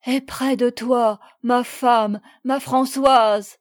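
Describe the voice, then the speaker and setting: A man's voice: sits high, slow, darkish tone. A woman voicing a tender, impetuous father and husband back after a long absence, speaking in emphatic, pleading exclamations. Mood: overjoyed.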